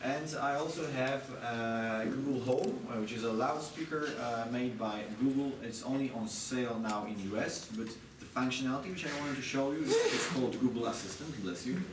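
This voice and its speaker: A man giving a live product demonstration, speaking haltingly with many filler pauses. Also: mid-range voice. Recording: noisy, echoey room, distant microphone.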